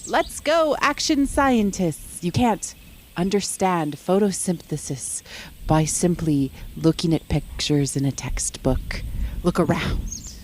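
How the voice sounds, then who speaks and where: Woman as a slow, low-voiced science teacher. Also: lively intonation, a little hiss or hum. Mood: encouraging. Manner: instructive. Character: brisk, instructive female science teacher on field trip.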